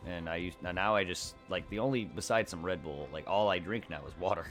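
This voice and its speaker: A man streaming a game, chatting casually in a quiet voice, rambling and correcting himself as he goes. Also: low voice, brisk, soft background music. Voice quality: quiet normal voice. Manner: rambling, self-correcting, laughs easily. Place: home streaming setup.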